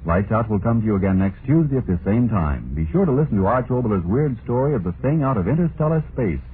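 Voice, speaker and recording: A man's voice: on the low side, sing-song, quick pace. A man working as an announcer in 1940s radio style. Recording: tinny, noisy old radio broadcast.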